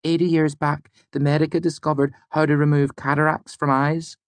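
Man voicing a high-pitched, determined female student. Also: little pitch movement, no room sound, lightly acted. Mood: earnest, insistent. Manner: measured, explanatory. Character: clever, determined female student, insistent storyteller. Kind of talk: audiobook character dialogue.

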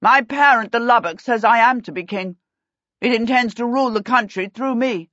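A woman's voice: a little high, normal speed, a bit bright, no echo, lo-fi sound, voice pushed up. A woman voicing a haughty, menacing prince in a storybook, imperious and theatrical. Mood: demanding. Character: haughty, menacing villain prince, male, dramatic and disgusted.

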